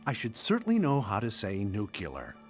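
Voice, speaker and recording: Stuffy, animated scientist hero. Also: male voice, lowish voice, normal speed, over music. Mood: self-important. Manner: pedantic, stubborn. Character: stuffy, self-important male scientist superhero. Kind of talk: comedic game cutscene acting.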